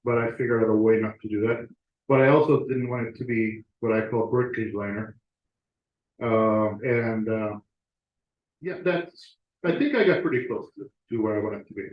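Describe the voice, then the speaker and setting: A man's voice: on the low side, moderate pace, slight background noise. Man, club talk, casual presenter, fillers and restarts, roomy echo, dull lo-fi sound. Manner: conversational with frequent fillers and restarts.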